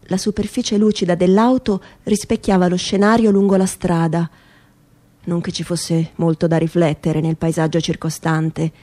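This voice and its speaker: A woman giving a dramatic literary reading in measured, emphatic phrases, in the style of radio theatre. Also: lowish voice, little pitch movement, mellow. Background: music.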